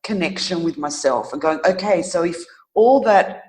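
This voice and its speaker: A middle-aged woman telling her own story as an interview guest, reflective and thoughtful, often pausing to search for words. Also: fairly low pitch, animated, darkish tone, low-quality recording.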